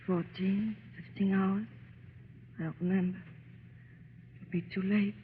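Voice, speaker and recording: An actress playing a distraught young mother in an old radio drama, speaking in a tremulous, halting, emotional way. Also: mid-range voice, level tone, a little hiss or hum, natural voice. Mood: despairing. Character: anguished young mother fearing for her dying baby. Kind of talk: 1930s-40s radio drama acting. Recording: muffled old radio recording, music behind.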